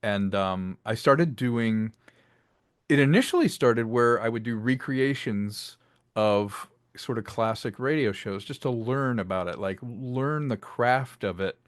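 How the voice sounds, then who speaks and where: Male interview guest, lower-pitched, chatty storyteller, casual and rambling, dry close sound. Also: measured pace, no room sound. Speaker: interview guest, filmmaker and audio drama creator. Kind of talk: casual interview, storytelling about creative work.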